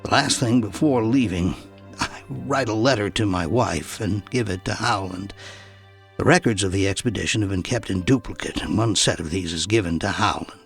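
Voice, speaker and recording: A man narrating an explorer's journal as an audiobook, telling it in a measured, dramatic way with deliberate pauses. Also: lowish voice, gravelly, faint music behind.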